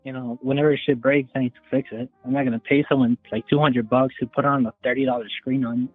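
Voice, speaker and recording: A man's voice: medium pitch, level tone, fast, soft background music. A young man in his twenties calling in by phone, rambling casually and hedging with lots of "like.". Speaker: caller. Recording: tinny phone line.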